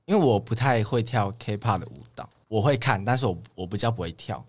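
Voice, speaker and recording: Low-pitched young Taiwanese man. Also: expressive, brisk. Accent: Taiwanese Mandarin. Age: young adult. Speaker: idol trainee guest. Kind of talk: casual podcast chat.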